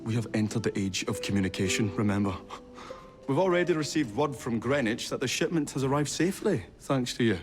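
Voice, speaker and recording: An actor playing a courteous, earnest Victorian inventor in a video game, with formal, precise speech. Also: male voice, mid-range voice, lively intonation, brisk, long reverb tail, close to an everyday voice. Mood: matter-of-fact. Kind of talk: video game cutscene acting. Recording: music under the voice.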